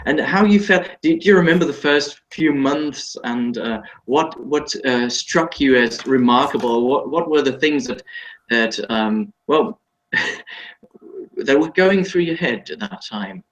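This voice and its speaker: A man interviewing a guest in casual conversation, halting and often repeating words as he shapes his questions. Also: mid-range voice, lively intonation, low-quality recording.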